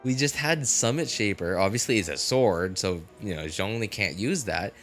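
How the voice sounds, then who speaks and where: A man hosting a game livestream, rambling casually and excitably, exclaiming and musing aloud. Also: lowish voice, moderate pace. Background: game music.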